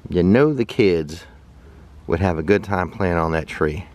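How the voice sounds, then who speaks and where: A chatty American man in his forties gives a relaxed outdoor tour, his lowish voice bouncing up and down with lively swings of pitch. He talks straight to the camera held at arm's length, open air around him. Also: unhurried. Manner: chatty, upbeat, pointing things out to viewers. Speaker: travel vlogger. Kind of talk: casual travel vlog narration. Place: outdoors at a riverside park and on a kayak.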